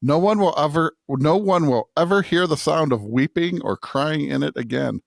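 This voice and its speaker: A man's voice: fairly high pitch, normal speed, creaky, no room sound, clean studio sound. A man, co-host of a podcast, reading a scripture passage aloud.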